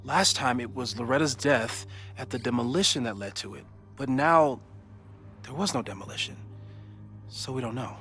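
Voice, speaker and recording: An actor playing a calm, cautious, scientifically minded man in a video game drama, reasoning in precise, formal phrases. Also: mid-range voice, moderate pace, faint music behind, close to an everyday voice. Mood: analytical.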